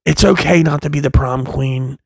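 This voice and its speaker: A man in his thirties talking in a casual, rambling monologue, profane and impassioned, with many restarts and a loud voice. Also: fairly high pitch, fairly even intonation, normal speed, vocal fry, dry recording, clean studio sound. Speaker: vlogger, father.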